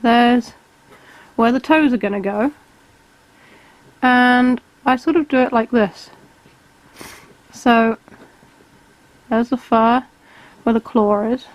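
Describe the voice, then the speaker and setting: A woman, calmly explaining each step of a drawing tutorial while she sketches. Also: a little high, slow pace, pauses often, rough recording. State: has a cold, slightly hoarse. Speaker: art tutor.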